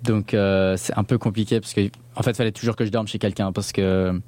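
A man's voice: fairly low pitch, level tone, a little fast, darkish tone, no room sound. A young man from Belgium, born in northern England, chatting casually as an interview guest in informal, slangy speech mixed with English words. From born in northern England, grew up in Belgium. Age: young adult.

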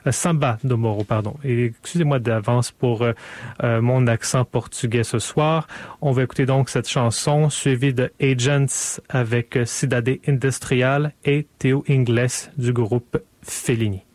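A man hosting a radio music show, relaxed and often hesitating. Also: mid-range voice, measured pace, dry recording. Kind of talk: casual radio presentation.

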